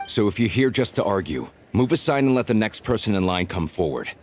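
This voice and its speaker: A man's voice: lowish voice, animated, a little fast, slight background noise, close to an everyday voice. A man voicing a curt, unbending, by-the-book supply officer in a video game. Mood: stern, officious.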